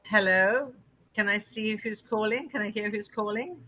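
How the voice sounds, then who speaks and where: South african lady hosting a call-in, bright voice, dry close sound, gushes a lot. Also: mid-range voice, normal speed, no room sound. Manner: chatty, effusive, with frequent fillers. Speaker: host. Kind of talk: call-in interview show.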